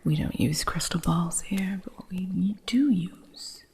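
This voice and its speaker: A woman playing a female witch shopkeeper who teaches an apprentice, in a soft whisper with dry humor, for an ASMR roleplay. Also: on the low side, takes it slowly, vocal fry, slightly rough, exaggerated voice. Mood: hushed.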